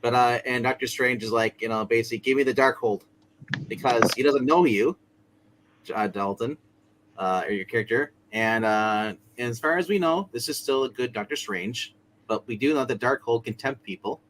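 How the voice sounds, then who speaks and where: A man running a tabletop roleplay game speaks in a casual, halting narration. His voice sits at an ordinary pitch, with noticeable noise behind it. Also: a bit bright. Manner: casual, halting, circling back as they narrate. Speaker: game master. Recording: clear background noise.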